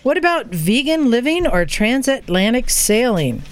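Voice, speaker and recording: Animated higher-pitched woman podcast host. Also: a little slow. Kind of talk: casual group chat. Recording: noticeable room noise behind.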